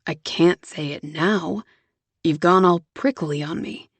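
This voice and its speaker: A woman voicing a gentle young man in love. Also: slow pace, husky, no room sound, exaggerated voice. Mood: tender. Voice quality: soft, low and hushed. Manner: gentle and coaxing.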